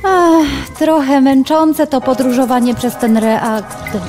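A woman acting an excitable, easily alarmed little girl explorer in a children's audio drama, exclaiming and whiny. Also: high-pitched, slow, over music. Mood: weary.

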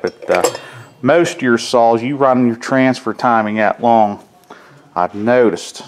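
Folksy middle-aged mechanic talking shop. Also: male voice, lowish voice, slight background noise. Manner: folksy, rambling, plainspoken. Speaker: mechanic and chainsaw hobbyist. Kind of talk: casual workshop how-to vlog. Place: cluttered garage workshop, indoors.

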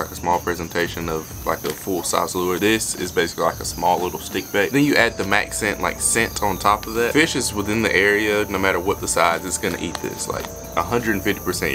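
A man's voice: on the low side, background noise. A young man talking casually to camera for his fishing vlog, joking and hyped up, outdoors by a pond and then out on a kayak. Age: young adult. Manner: fast, joking, hyped up and chatty. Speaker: fishing vlogger. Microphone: action camera, chest and handheld. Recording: music under the talk.

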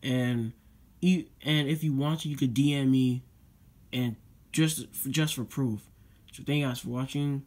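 A man's voice: mid-range voice, fairly even intonation, unhurried, a little mellow. A teenage boy hosting his own video channel, talking casually with many fillers and a repeated "guys" while showing a disc case at his desk. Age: teens. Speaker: YouTube channel host. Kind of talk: casual vlog unboxing talk.

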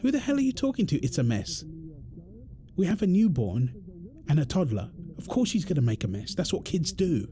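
A man's voice: fairly high pitch, very animated, moderate pace, mellow, slight background noise. A British man playing an exasperated, defensive husband in an audio roleplay, arguing in an insistent, repetitive way. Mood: heated, indignant. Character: exasperated, defensive husband and father quarrelling indignantly. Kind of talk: dramatic one-sided argument roleplay.

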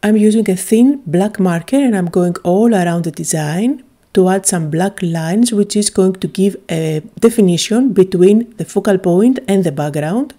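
A woman's voice: mid-range voice, normal speed, mellow. A woman from Greece, chattily and enthusiastically narrating a craft tutorial, with frequent little "uh" fillers.

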